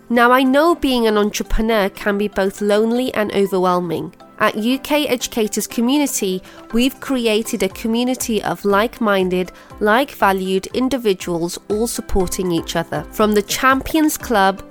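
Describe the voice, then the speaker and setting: A woman hosting a podcast, reading an upbeat promotional intro and then welcoming her guest. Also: mid-range voice. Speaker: podcast host.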